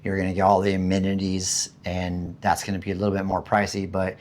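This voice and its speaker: A man from the United States with a hoarse voice after being sick, chatting casually and rambling about living costs, with many fillers and restarts. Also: deep voice, completely flat delivery, a little fast, a little mellow, a little hiss or hum. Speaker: vlogger. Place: home in the Philippines.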